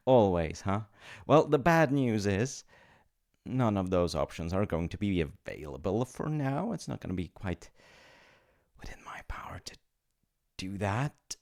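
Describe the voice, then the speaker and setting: A man playing a chummy, rule-bending supernatural agent of reincarnation in a comedic audio roleplay, with a creaky voice and informal, hesitant salesman-like patter. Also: lowish voice, big pitch swings, measured pace, pauses often, a little mellow. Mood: taken aback, apologetic.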